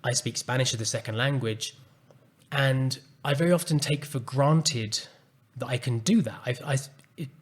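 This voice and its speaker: A man hosting a solo podcast, speaking calmly and earnestly as he reflects on a personal story. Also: mid-range voice, moderate pace, slightly rough. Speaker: podcast host and coach.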